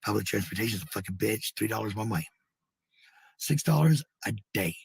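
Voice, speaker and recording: An American man with a husky, gravelly voice rambling casually to livestream viewers, blunt, sweary and given to repeating phrases. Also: on the low side, expressive, fairly quick, dry recording. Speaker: live streamer.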